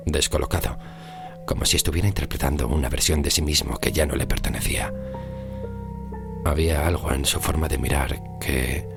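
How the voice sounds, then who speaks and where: A man narrating a scripted horror story in deliberate, suspenseful phrases. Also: rumbling low, gravelly. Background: loud music.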